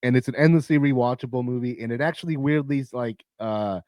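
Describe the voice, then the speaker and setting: A man hosting a movie countdown, his voice raspy and tired, rambling casually with lots of swearing, fillers and restarts. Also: mid-range voice, quick pace, darkish tone, dry recording, studio quality. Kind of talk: casual rambling film talk.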